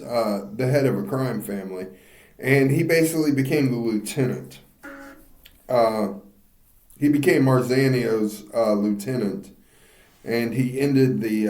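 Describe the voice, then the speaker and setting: Man, podcast co-host, slow, joking and laughing, roomy echo. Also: mid-range voice, a little mellow. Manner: joking, stumbles over names, laughs often. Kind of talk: casual banter.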